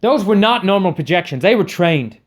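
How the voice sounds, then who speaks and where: A man's voice: sits high, sing-song, brisk. A man playing an intense, angry heist leader in an amateur audio drama, commanding and confrontational. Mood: angry, demanding.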